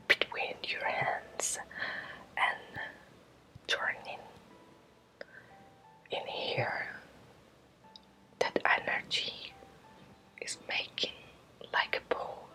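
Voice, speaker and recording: Woman, breathy whisper, native Spanish speaker, very slow halting phrases, ASMR guided lesson. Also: sharp tone, rough recording. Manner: slow, halting, short phrases with long pauses. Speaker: Reiki teacher.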